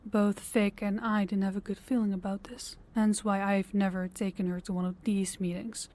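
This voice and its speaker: A woman's voice: mid-range voice, flat delivery, normal speed, darkish tone, close to an everyday voice. A woman playing a composed, commanding crime boss in a scripted audio drama, businesslike and authoritative. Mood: businesslike, firm. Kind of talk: scripted audio roleplay acting.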